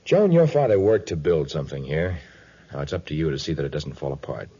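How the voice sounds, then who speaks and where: Deep, commanding jungle hero. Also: male voice, expressive, brisk, mellow, breathy edge, exaggerated voice. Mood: grave, assured. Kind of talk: 1950s radio adventure acting. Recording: dull vintage 1950s radio recording.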